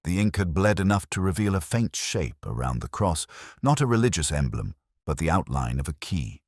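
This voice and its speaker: Very deep-voiced man narrating a mystery. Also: sing-song, moderate pace, no echo, studio quality. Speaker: audiobook narrator. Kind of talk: scripted mystery story narration in first person.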